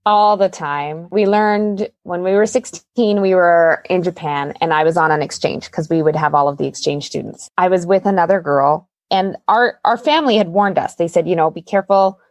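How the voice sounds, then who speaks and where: A woman's voice: fairly low pitch, normal speed, few pauses, no echo. A woman with a Canadian accent chatting casually and telling personal stories as an interview guest. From Calgary, Canada. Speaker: guest, magician's assistant and performer. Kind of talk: casual interview chat, storytelling.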